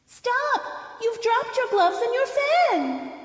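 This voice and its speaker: A woman's voice: very high voice, sing-song, slow pace, heavily acted. A woman playing a polite, curious young girl in a radio play, talking with mannered wonder. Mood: urgent, polite.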